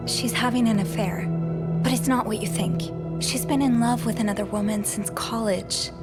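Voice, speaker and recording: A woman's voice: a little high, fairly even intonation, moderate pace, close to an everyday voice. An actress playing an earnest hotel maid in a video game drama, polite but standing her ground. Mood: hesitant, careful. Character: earnest, defiant young hotel maid under questioning. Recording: background music behind the voice.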